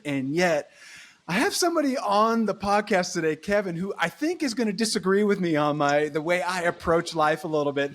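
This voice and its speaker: Upbeat podcast host, higher-pitched man. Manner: upbeat and encouraging, rapid bursts. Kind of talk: podcast intro and interview.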